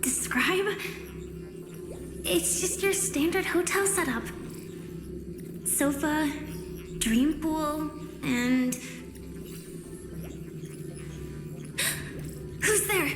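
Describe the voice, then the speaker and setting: High-voiced, earnest young woman fighter. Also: expressive, extremely slow, long pauses, exaggerated voice. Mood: uneasy. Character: earnest, determined young female undercover fighter. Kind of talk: anime game voice acting. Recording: echoey reverberant hall, music behind. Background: game music.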